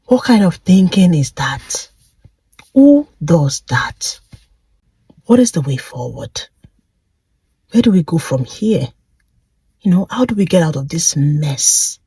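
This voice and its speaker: A woman's voice: lowish voice, sing-song, takes it slowly, long pauses, dark tone, husky, no echo. A woman reflecting aloud in a personal vlog, asking one rhetorical question after another with feeling. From Nigeria. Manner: impassioned, full of rhetorical questions. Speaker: vlogger.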